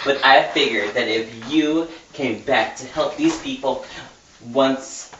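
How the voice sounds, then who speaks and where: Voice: mid-range voice, slow, a bit bright. Mock-dramatic amateur reader, roomy and distant. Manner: mock-dramatic, often breaking into giggles. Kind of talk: casual dramatic reading aloud from a novel. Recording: roomy, distant mic, muffled, noisy.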